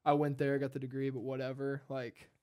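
A man's voice: medium pitch, monotone, brisk, darkish tone. A man in his twenties hosting a casual interview chat, affirming and often repeating short phrases. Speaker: podcast host. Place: at a dining table in a home. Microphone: headset.

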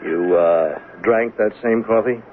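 An actor playing a dogged, puzzled police lieutenant in a 1970s radio mystery, with a blunt, probing manner. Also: male voice, lowish voice, takes it slowly. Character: dogged, puzzled male police lieutenant working a murder case. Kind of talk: 1970s radio drama acting. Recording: tinny, faintly noisy old radio broadcast.

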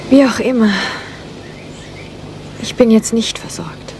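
An actress playing a forlorn, anxious young gentlewoman who feels unloved, in a German radio crime drama. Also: on the high side, takes it slowly, background noise. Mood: forlorn, hurt.

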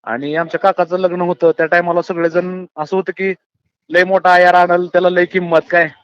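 A dull, lo-fi phone recording carries a man with a rural Maharashtrian accent, talking very fast. His voice sits fairly high and close, as he reasons earnestly and colloquially with his listener. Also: flat delivery, fairly bright tone, clean tone, dry recording. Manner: colloquial, earnest, philosophising, often addresses listener as brother. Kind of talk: casual phone chat, opinionated monologue. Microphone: phone call, close and dry.

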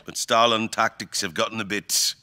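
Man acting a grave, deep-voiced fantasy king. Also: steady tone, pushed and bright. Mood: grave, scornful. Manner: theatrical, deliberate, formal diction. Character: grave, imperious fantasy king, eloquent and brooding. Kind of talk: dramatic fantasy audio drama acting.

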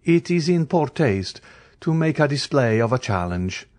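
A man reading the part of a calm, commanding count who speaks with cool dignity. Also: mid-range voice, pitched above the performer's own voice. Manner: measured, lofty, quietly authoritative.

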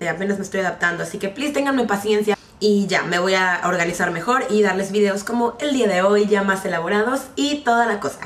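A young woman with a Mexican accent, chatting to camera in a playful, expressive way as she gives advice. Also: on the low side. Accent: Mexican Spanish. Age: young adult. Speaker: vlogger. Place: small bedroom, indoors. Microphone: camera mic at a short distance. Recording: roomy bedroom, distant camera mic, music.

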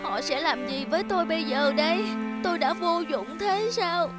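A high-pitched, theatrical cartoon mast, acted by a woman, proud and full of self-pity. It laments in dramatic fashion over clearly audible music. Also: moderate pace. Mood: despairing. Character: proud, self-pitying broken wooden ship mast. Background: background music.

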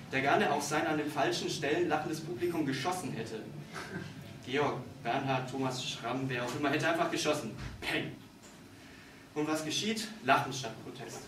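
A man in his thirties giving a deliberate, dramatic literary reading of his own satirical monologue on stage. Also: a little high. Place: on stage in a small dark theatre. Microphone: distant camera in the audience. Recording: distant, echoey hall with background noise.

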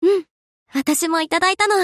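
A woman's voice: very high-pitched, brisk, a bit bright, no room sound, clean studio sound. A woman voicing a kind, chatty young anime girl in a game, conversational and friendly. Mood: warm, happy.

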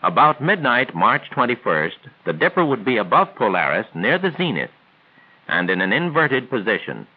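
A man reads scripted 1940s training film narration in a measured, formal, instructional way. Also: mid-range voice, sing-song. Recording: tinny band-limited 1940s film soundtrack.

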